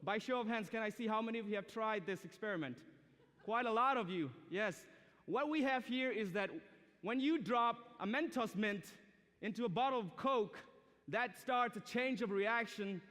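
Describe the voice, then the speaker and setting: A man's voice: sits high, normal speed, a bit bright. A young man from Myanmar preaching a sermon to a student audience, chatty and direct, asking the crowd questions. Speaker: student speaker. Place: large church or university auditorium. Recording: long hall reverb from a live auditorium.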